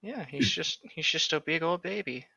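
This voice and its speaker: Male co-host for teasing, slangy chat, bright tone, close dry lo-fi mic. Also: on the high side, animated, moderate pace, vocal fry, breathy edge, no room sound. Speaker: podcast co-host. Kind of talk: casual banter. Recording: dull lo-fi close microphone.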